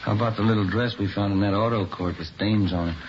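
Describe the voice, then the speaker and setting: An actor playing a skeptical police detective in a vintage radio crime drama, questioning politely but pointedly. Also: male voice, fairly low pitch, fairly even intonation, fast, lightly acted. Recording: dull, noisy vintage radio recording.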